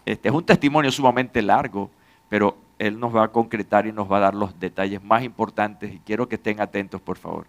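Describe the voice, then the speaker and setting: A male pastor introduces a church member to the congregation in a measured, formal manner. His voice is low and clean, with a resonant smoothness. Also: fairly even intonation.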